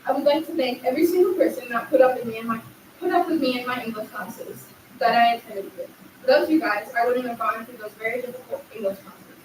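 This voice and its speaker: A woman's voice: fairly high pitch, fast talker. A young woman giving an earnest, heartfelt graduation speech, reading it aloud from a podium. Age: young adult. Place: large echoey hall at a graduation ceremony. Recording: echoey hall, distant mic, faint noise.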